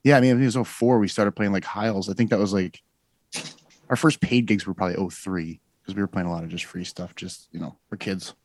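Low-voiced forty-something man rambling. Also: steady tone, brisk, a little mellow, no echo. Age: 40s. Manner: rambling storyteller, many fillers and self-corrections. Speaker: guest musician. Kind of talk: casual podcast chat.

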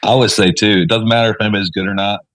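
Low-voiced easygoing young man. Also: fairly even intonation, rapid-fire, a bit bright, dry recording. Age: young adult. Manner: easygoing, lots of likes and you-knows. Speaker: country musician guest. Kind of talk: casual chat.

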